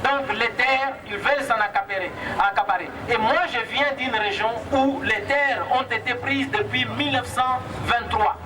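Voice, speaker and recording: A man's voice: very high-pitched, normal speed, few pauses, a crowd in the background. A man from the Democratic Republic of the Congo with a Congolese accent, giving an emphatic, impassioned protest speech that repeats phrases for effect. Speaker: activist speaker at a protest. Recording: distant mic, noisy outdoor street sound.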